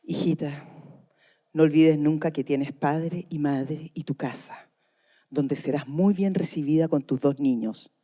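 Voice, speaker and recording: A woman's voice: low-pitched, unhurried, dark tone. A woman playing a protective, grieving mother pleading with her grown daughter in a dramatised radio drama reading. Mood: tender, protective.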